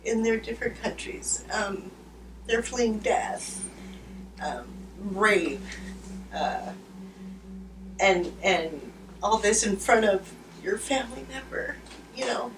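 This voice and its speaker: A woman volunteer giving a documentary interview, talking in a blunt, deliberate way. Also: medium pitch, lively intonation, reverberant. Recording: distant mic with faint noise.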